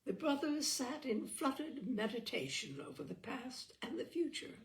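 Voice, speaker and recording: A woman reading a literary story aloud as narrator, expressively, with theatrical, deliberate phrasing and dramatic pauses. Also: sits high, expressive. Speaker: audiobook reader and storyteller.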